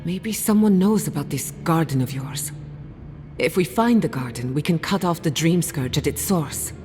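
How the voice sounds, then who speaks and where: An inquisitive scholar in a fantasy game. Her voice is low, measured and expressive, with a wry edge. It rings in a reverberant space with faint music behind. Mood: curious. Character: woman scholar of spirit science, inquisitive, wry. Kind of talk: video game voice acting. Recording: reverberant hall, music underneath.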